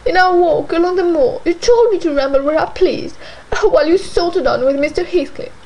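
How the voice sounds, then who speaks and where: Brief: tearful, wailing young lady, voiced by a woman reader, high and pleading. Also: fairly quick, low-quality recording. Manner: wailing, plaintive, high and loud.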